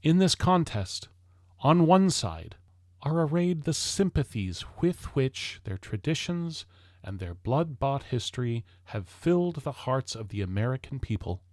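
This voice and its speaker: A man reading a historical speech aloud as formal oratory, solemn and declamatory, with deliberate pauses between measured phrases. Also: medium pitch, sing-song, a little mellow, dry recording, clean studio sound.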